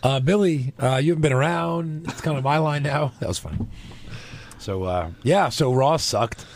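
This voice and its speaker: A man in his forties with a hoarse, nearly gone voice, joking in a low tone as a podcast co-host. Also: normal speed, noisy background. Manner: low, gravelly joking delivery.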